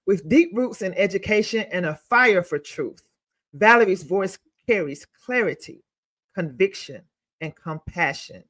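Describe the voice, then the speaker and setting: Woman host, emphatic, reads guest intros with pauses for drama. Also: mid-range voice, lively intonation, a bit bright. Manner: emphatic, pausing between words.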